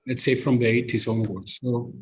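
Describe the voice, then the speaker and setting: A man with a Greek accent, a native Greek speaker, explaining at length in a live online discussion with many pauses and fillers. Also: mid-range voice, steady tone. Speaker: discussion participant. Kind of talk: live podcast group discussion.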